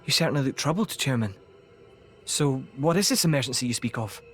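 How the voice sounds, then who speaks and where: A man's voice: mid-range voice, animated, fairly quick, soft background music, lightly acted. A man playing a courteous, composed monarch in a video game, with formal, polite phrasing.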